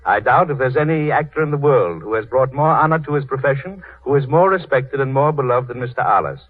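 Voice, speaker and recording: Formal male announcer for vintage radio theater: declamatory, rough-edged voice, narrow phone-like old recording. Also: medium pitch. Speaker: host and narrator of a radio drama series. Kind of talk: formal 1930s scripted radio presentation. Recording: tinny, husky 1930s radio recording.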